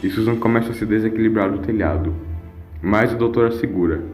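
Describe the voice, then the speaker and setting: Male narrator, Brazilian accent, lowish voice, dramatic scripted storytelling, echoing over music. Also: fairly quick, a little mellow.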